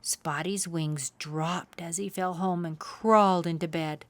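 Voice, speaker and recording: A woman reading a children's storybook aloud as expressive scripted narration. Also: lowish voice, measured pace, dry recording. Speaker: children's story reader, channel host.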